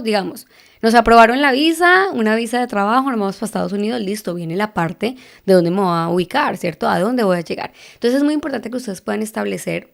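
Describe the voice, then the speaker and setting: A woman's voice: mid-range voice, vocal fry. A woman in her thirties from Colombia with a Bogotá accent, hosting a podcast and explaining in a chatty way, often checking with listeners. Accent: Bogotá Colombian Spanish. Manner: chatty, asks listeners for agreement with frequent tag questions. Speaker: podcast host. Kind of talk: casual explanatory podcast talk. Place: living in Texas.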